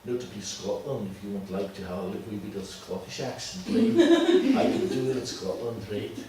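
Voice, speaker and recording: A man with an Austrian accent and German as his first language, leading an informal workshop as a teacher trainer in a halting, deliberate way with frequent tag questions. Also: fairly low pitch, sing-song, mellow. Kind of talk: informal workshop talk to a small group. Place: classroom. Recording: distant mic, echoey room, faint noise.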